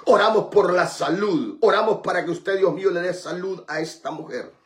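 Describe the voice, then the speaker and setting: Fervent praying radio pastor, echoey. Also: male voice, sits high, normal speed. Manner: fervent repetitive praying, then chatty and joking. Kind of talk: radio prayer and call-in program.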